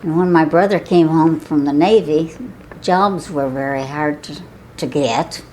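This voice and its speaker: A woman's voice: low-pitched, a little slow, warm tone, a little hiss or hum, low-quality recording. Older woman with a Southern Illinois accent. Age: 80s. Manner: chatty, laughs often, with false starts. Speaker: oral history interviewee. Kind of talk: casual reminiscing.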